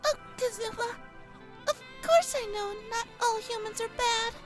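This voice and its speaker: A performer voicing a sweet, innocent young girl in an anime parody, gentle and soft-spoken. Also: extremely high pitch, unhurried. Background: loud music.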